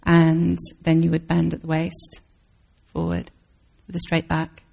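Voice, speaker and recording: Low, gravelly-voiced Zen teacher woman. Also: monotone, a little slow. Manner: thoughtful, searching, with restarts and soft laughs. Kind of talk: dharma talk Q&A answers.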